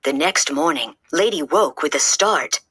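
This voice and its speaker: A woman reading a children's story aloud in an expressive, dramatic storytelling manner. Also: low voice, a little slow, breathy edge. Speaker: storybook reader.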